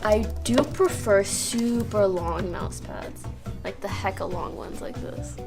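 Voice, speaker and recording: A woman's voice: mid-range voice, slow pace, over music. A young woman streamer giving a casual, joking tour of her desk setup, chatty and giggly.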